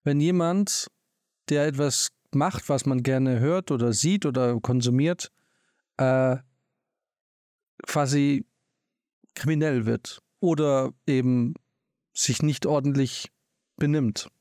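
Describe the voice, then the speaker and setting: A man's voice: medium pitch, takes it slowly, pauses often, a little mellow, no room sound, studio quality. A man co-hosting a casual podcast, posing reflective questions. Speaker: podcast co-host.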